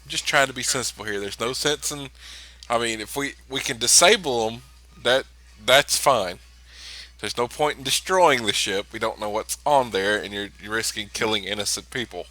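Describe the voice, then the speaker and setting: A man's voice: mid-range voice, normal speed, pushed and bright, poor audio. A man playing a tabletop role-playing game in casual in-character chat, often stammering and repeating his words.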